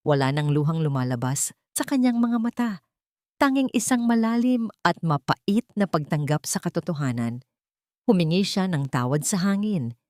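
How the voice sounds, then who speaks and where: A woman narrates a dramatic story from a script in a Filipino accent. Her voice sits a little low for a woman. The sound is very dry, with no room around it. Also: darkish tone, dry recording.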